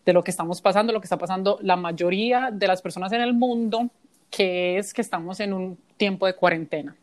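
A woman's voice: mid-range voice, fairly quick. A woman in her thirties with a Colombian accent, co-hosting a podcast in a chatty, informal, conversational way. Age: 30s. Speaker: podcast co-host. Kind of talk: casual conversational podcast intro.

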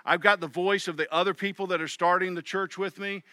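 A middle-aged pastor giving a sermon in a conversational way, often voicing the thoughts of others. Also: male voice, high-pitched, steady tone, fairly quick, bright, forceful tone, no room sound. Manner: conversational preaching with quoted inner voices.

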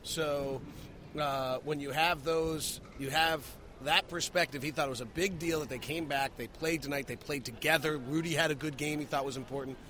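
A man's voice: fairly high pitch, fairly even intonation, normal speed, bright, forceful tone, a little hiss or hum. A man hosting a sports postgame podcast, talking emphatically as a broadcaster does. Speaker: podcast host, radio play-by-play broadcaster.

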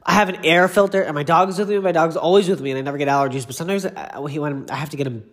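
A man's voice: fairly high pitch, fairly even intonation, racing. A man hosting a casual solo pop culture podcast, gushing and effusive, rambling off on asides and sounding congested from allergies.

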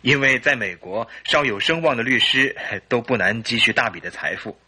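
A man's voice: mid-range voice, expressive, moderate pace, sharp tone, husky, heavily acted. A man acting a polite, earnest, troubled English husband in his early thirties in a Chinese radio drama. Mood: polite, explanatory.

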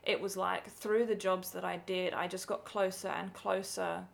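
Chatty English woman with gravelly edge. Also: fairly low pitch, level tone, moderate pace. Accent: English with some Australian. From England. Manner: chatty, laughs often, many likes and ums. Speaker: podcast co-host. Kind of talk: casual chat.

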